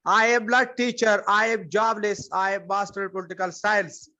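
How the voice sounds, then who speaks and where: Man from Pakistan, fairly high voice, halting plain English, lo-fi call audio. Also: fairly even intonation, bright, forceful tone. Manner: halting, simple non-native English. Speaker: new guest. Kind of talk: online meeting introduction. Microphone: video call.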